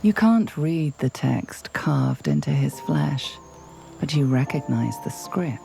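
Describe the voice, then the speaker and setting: An ominous storyteller for a dark fantasy game. He speaks slowly in a hushed, very gravelly voice. Music is clearly audible underneath. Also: medium pitch, huge pitch swings, full character voice. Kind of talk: second-person game narration.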